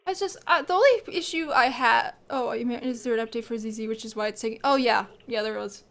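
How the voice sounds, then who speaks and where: A woman's voice: on the high side, fast, gravelly, a bit bright. A woman streamer chatting casually with her viewers, stuffed up from a cold and allergies, rambling and laughing often. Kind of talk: casual livestream chat with viewers.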